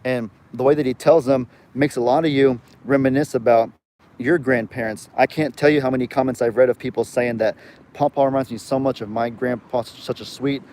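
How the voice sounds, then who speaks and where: Young man, light Southern US drawl, mid-pitched, chatty livestream host who rambles and reads viewer comments aloud. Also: steady tone, fairly quick, darkish tone, a little hiss or hum. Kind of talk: casual live chat.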